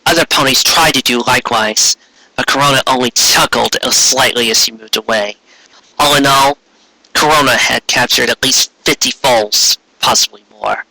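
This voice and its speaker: A man's voice: mid-range voice, moderate pace, creaky, bright, forceful tone, no room sound, rough recording. A man reading a scripted fan fiction story aloud as its narrator.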